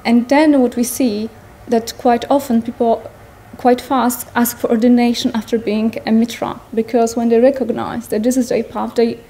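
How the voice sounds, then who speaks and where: A woman in her thirties with a Polish accent, giving an earnest personal talk to an audience in a large tent, pausing to search for words. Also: a little high, flat delivery, warm tone. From Poland. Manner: earnest, searching for words, non-native phrasing. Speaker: speaker at a Buddhist gathering. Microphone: stand mics in front of her.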